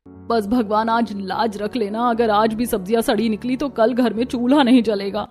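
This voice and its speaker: Woman as anxious high-voiced young daughter-in-law. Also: steady tone, normal speed, darkish tone, faint music behind, close to an everyday voice. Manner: anxious, pleading. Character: worried, earnest young village daughter-in-law.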